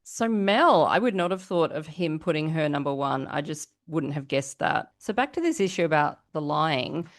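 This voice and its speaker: A woman's voice: low voice, lively intonation, normal speed, hardly any pauses. A woman hosting a podcast, giving casual, chatty reality TV gossip commentary and putting questions to the listeners. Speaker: podcast host.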